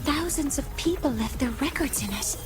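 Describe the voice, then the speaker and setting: A woman's voice: sits high, lively intonation, normal speed. A woman playing an earnest, analytical young researcher in a video game, with precise technical phrasing. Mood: startled, concerned. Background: music.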